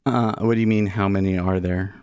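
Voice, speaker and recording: Man, thirties, livestream host, casual rambling talk, lowish voice, dry close sound. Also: steady tone, brisk, a little mellow, clear, resonant tone, no room sound. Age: 30s. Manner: rambling, with many restarts and asides. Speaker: livestream host, day trader.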